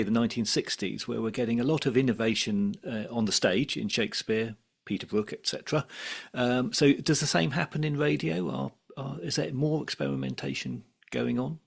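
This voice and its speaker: A man hosting a conversational interview, putting his questions in a measured, slightly hesitant way. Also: medium pitch, creaky, slightly rough. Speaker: podcast host interviewer.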